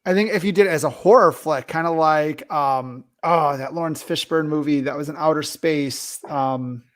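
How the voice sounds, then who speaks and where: A man's voice: a little high, moderate pace, fairly bright tone. A man chatting casually as a co-host on a discussion show. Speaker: podcast co-host. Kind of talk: casual chat.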